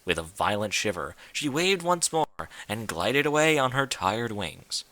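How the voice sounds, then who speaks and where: A man giving an expressive scripted narration of a story, as in an audiobook. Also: medium pitch, unhurried.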